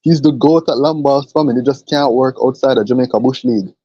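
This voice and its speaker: Laid-back male podcast co-host, hesitant, mellow tone, dull lo-fi sound. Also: medium pitch, a little fast, no echo. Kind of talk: casual chat.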